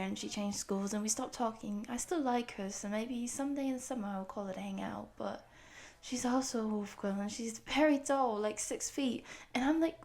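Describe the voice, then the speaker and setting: A woman voicing a friendly, excitable eighteen-year-old wolf girl who rambles from one thing to the next in an audio roleplay for the listener. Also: on the high side, lightly acted. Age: young adult.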